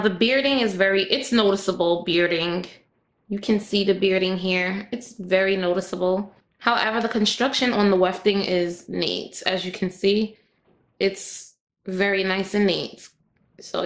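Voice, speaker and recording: A woman with a raspy voice, chatting casually to her viewers as she reviews hair and walks through a tutorial. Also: mid-range voice, fairly even intonation, measured pace. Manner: chatty, addresses viewers directly. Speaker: hair vlogger.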